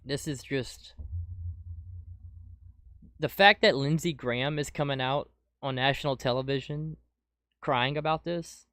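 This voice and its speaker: Man, podcast host, excitable, hoarse from a cold, dry close-mic sound, mid pitch. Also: measured pace, long pauses, dry recording. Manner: excitable, says "dude" often. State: losing his voice, getting sick. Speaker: podcast host reacting to news. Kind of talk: casual commentary over clips. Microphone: close desk mic.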